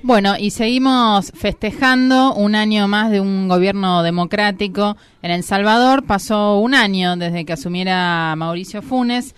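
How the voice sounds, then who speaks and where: Smooth-voiced woman co-hosting radio. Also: medium pitch, a little slow, hardly any pauses, no room sound, faint music behind. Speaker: radio co-host. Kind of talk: radio talk show banter. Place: radio studio.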